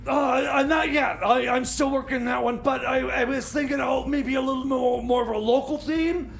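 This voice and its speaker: A man's voice: very high voice, steady tone, normal speed, vocal fry. A man voicing a flustered male festival booker who stammers and hesitates. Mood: anxious. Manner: stammering, hesitant, full of fillers.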